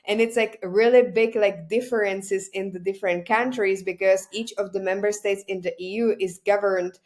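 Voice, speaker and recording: A woman podcast host with a Czech accent and a mid-range voice. She talks in a halting, conversational way, filling gaps with "uh" as she looks for words in her second language. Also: mid-range voice, fairly even intonation, darkish tone. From Czech Republic. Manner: hesitant, many "uh" fillers, searching for words. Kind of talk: casual interview chat.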